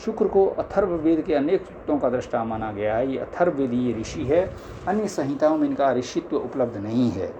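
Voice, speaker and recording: A man's voice: medium pitch, animated, moderate pace, muffled recording, noisy background. A man formally reading scholarly religious text aloud, now and then stumbling, in an amateur recording. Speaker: reader of a scripture audiobook.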